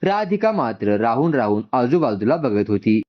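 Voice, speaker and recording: A man's voice: mid-range voice, very animated, normal speed, muffled recording. A man narrating a scripted story as a storyteller, voicing its dialogue along the way.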